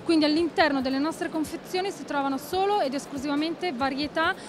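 A woman in her thirties speaks at a trade fair stand, halting often and restarting her sentences. Her voice is high, and clear crowd noise from a busy indoor hall carries behind her. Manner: hesitant, many fillers and restarts. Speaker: rice company owner. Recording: noisy fair hall background.

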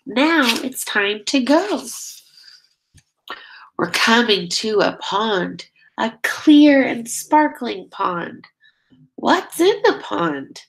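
Slow, expressive woman reading to children. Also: fairly high pitch, rough recording. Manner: expressive, drawn-out key words, prompting children with questions. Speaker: teacher reading a picture book aloud. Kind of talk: children's read-aloud.

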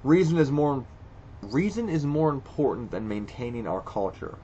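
A man's voice: mid-range voice, expressive, normal speed, mellow, lo-fi sound, slight background noise. A man reading quiz questions aloud and reacting to each one, blunt and profane, thinking aloud with stammered restarts. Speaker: video creator. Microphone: screen recording with voice-over.

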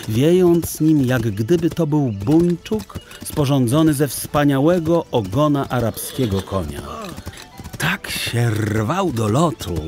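Guy reading a kids tale, slow n theatrical, bit of music under him. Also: medium pitch, darkish tone. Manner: theatrical, deliberate phrasing. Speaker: audiobook narrator. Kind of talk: expressive literary storytelling for children.

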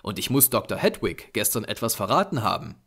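A man's voice: on the low side, big pitch swings, brisk, gravelly, a bit bright, slightly rough, heavily acted. A man voicing an irritated, sceptical male artist in an audiobook, brusque and dismissive. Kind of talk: audiobook character voice.

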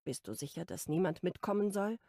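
A caring older sister with a gentle, motherly way of speaking, as a woman reader gives her voice. Her tone carries a slight gravelly edge and sits in a dry, close studio sound. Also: fairly low pitch, lively intonation, fairly quick, no echo. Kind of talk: audiobook dialogue reading.

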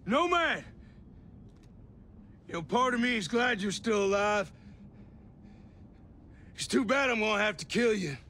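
Video game renegade commander, tense bright tone, forceful, taunting, menacing, faint ambience. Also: male voice, high voice, lively intonation, measured pace, creaky, long pauses, heavily acted. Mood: menacing, persuasive. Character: menacing renegade military commander, former friend. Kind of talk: video game cutscene acting. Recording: faint ambient noise behind.

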